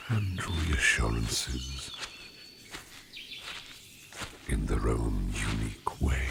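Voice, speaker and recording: A man playing a courteous, curious sleep demon in disguise, speaking in a breathy, gravelly half-whisper with formal, halting phrasing for an ASMR roleplay. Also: rumbling low, heavy background noise, full character voice. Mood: gently curious. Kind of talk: ASMR roleplay, personal attention.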